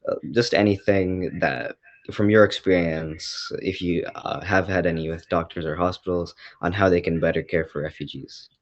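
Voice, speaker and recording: A man's voice: low voice, fairly even intonation, normal speed, no room sound, dull audio. A man interviewing, asking long, hesitant questions full of fillers.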